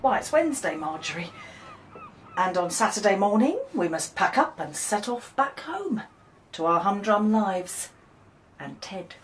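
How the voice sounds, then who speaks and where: A woman's voice: medium pitch, very animated, measured pace. An actress playing a kindly, chatty, knowing middle-aged mother in a radio drama. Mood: kindly, encouraging. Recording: clearly noisy drama track.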